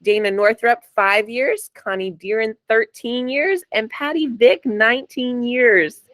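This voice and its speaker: Woman host, raspy this morning, chatty and upbeat, dry sound. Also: fairly high pitch, measured pace, a bit bright, no echo. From New Jersey. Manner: chatty, upbeat, frequent ums. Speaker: sales meeting host. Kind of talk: casual meeting announcements.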